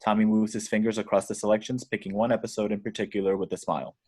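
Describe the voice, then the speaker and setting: A man's voice: lowish voice, little pitch movement, fast, darkish tone. A man narrating the action lines of a screenplay at a table read. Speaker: reader of stage directions.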